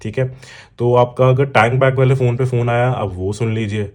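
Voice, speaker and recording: A man's voice: medium pitch, fairly even intonation, moderate pace, darkish tone. A man with an Indian accent giving a casual, explanatory unboxing talk as a vlogger, addressing the viewer directly. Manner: explanatory, addresses viewer with examples and check-ins. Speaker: motorcycle vlogger and product reviewer. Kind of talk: casual unboxing talk mixing Hindi and English.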